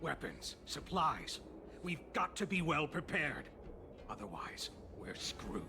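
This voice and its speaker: An actor playing a shrewd, businesslike smuggler henchman in a video game, with a gravelly, sharp voice. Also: a little high, sing-song, slow, over music, full character voice. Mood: businesslike, scheming. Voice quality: gravelly, bright. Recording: faint background noise and ambience.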